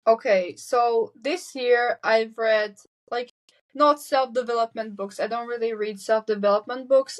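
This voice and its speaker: Slovak teen girl, candid and high-voiced. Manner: candid, self-correcting, quick nervous laughs. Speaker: guest. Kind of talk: casual interview chat.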